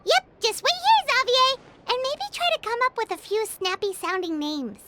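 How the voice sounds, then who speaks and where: A woman voicing a tiny floating girlish companion, excitable and chatty, in a high squeaky voice. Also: moderate pace. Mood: curious. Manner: bouncy, eager exclamations. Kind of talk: video game voice acting. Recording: game audio with music.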